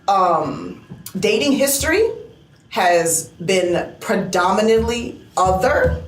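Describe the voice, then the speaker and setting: A young American woman co-hosting a podcast, talking in a casual, animated way and acting out the voices of people she quotes. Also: mid-range voice, slow pace. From United States. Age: young adult. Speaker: podcast co-host. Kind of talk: casual chat. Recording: echoey room.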